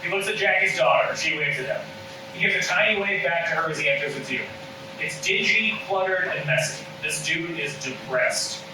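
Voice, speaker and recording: Higher-voiced man narrating in an echoey room. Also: moderate pace. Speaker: stage directions reader. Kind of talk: scripted narration at a table read. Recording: roomy, distant mic with loud background noise.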